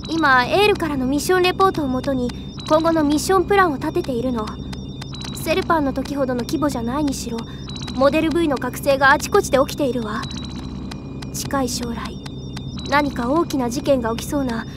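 A woman voicing a caring, serious young female commander with earnest, measured speech in a game drama. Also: high voice, noisy background, lightly acted. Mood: troubled, earnest. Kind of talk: Japanese game audio drama acting. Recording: music behind the voice.

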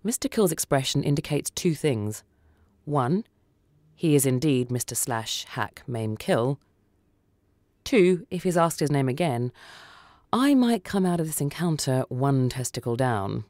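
A narrator tells a short story in the first person with a dramatic delivery. The voice sits at a middling pitch. The sound is very dry. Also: animated, unhurried, darkish tone, no echo. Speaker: story reader.

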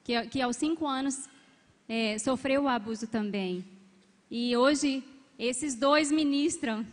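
A young woman speaks with a bright, high voice on a big arena stage, holding a handheld mic. She preaches emphatically and calls out amens and hallelujahs to the crowd. A long hall reverb trails her words. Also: slow. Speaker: couple's coach. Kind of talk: testimony and exhortation at a church conference.

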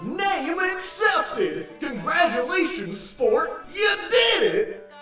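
A woman's voice: extremely high pitch, big pitch swings, slow pace, bright, forceful tone, exaggerated voice. Woman as echoing, perky game tutorial announcer. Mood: chipper. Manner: perky, condescending. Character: relentlessly chipper, patronizing game tutorial announcer. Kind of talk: anime parody dub, game announcer. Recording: very echoey, distant, music behind.

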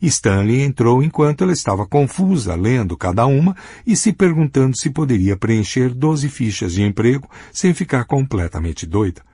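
A man with a husky voice reading a novel aloud as an audiobook narrator, giving characters small voice shifts in dialogue. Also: mid-range voice, very animated, normal speed, few pauses, warm tone, no echo. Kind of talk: scripted narration.

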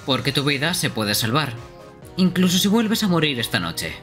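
Wry cool young ghost hero, male streamer voicing, bright tone, game music underneath. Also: a little high, big pitch swings, normal speed. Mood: wistful cool. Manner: playful, laughs often between lines.

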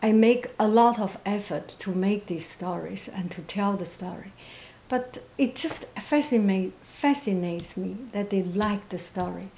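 A woman of medium pitch speaks English as a second language. Her voice is soft, quiet and monotone, with little force behind it. She answers at a very slow pace, gently and haltingly, reflecting as she goes. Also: slight background noise. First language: not English. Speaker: interviewee, painter and teacher.

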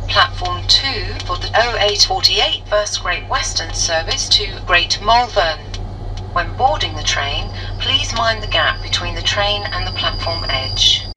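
British woman, slow formal railway announcer. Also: medium pitch, pushed and bright, distant mic, a little hiss or hum, poor audio. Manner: formal, measured, phrase-by-phrase delivery. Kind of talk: recorded public announcements.

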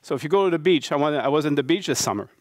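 A man's voice: on the high side, very fast. A middle-aged man from Quebec City with a French accent, giving a conference talk in a university lecture hall. He speaks earnestly, with many fillers and restarts. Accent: Québécois French. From Quebec City, Canada. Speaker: conference speaker, baseball coach and professor.

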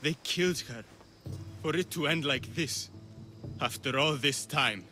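An actor playing a gentle, lovelorn middle-aged Greek philosopher in a video game, speaking in a reflective, hesitant way. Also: male voice, a little high, measured pace. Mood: grieving, resigned. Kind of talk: video game dialogue acting. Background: game music.

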